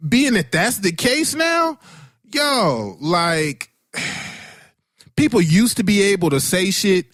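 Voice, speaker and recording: A man with a high-pitched voice that swings up and down a lot, recorded close and dry. He banters casually as a podcast co-host, joking in slangy, profane language. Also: sing-song, takes it slowly, a bit bright, dry recording.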